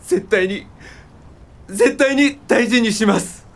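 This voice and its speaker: A man's voice: high voice, takes it slowly, gravelly, heavily acted. A man playing a humble, earnest released prisoner full of gratitude in a radio drama. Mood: tearfully grateful. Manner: earnest, effusive. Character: grateful, humble released Korean male patient.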